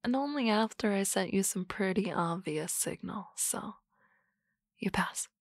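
A woman playing a teasing, confident office boss in a soft, close, whispered ASMR roleplay. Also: medium pitch, lively intonation, a little slow, no room sound.

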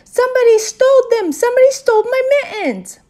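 A woman voicing a loud, bossy, dramatic kindergarten girl in a children's audiobook, exclaiming emphatically and repeating herself. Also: fairly high pitch, fairly quick, voice pushed up, lightly acted. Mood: panicked.

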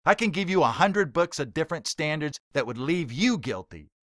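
Brief: male narrator playing a hostile heckler, sharp, accusing, pitched up and loud. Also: expressive, moderate pace, dry recording, studio quality. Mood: angry. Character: hostile young male heckler, bold and scornful.

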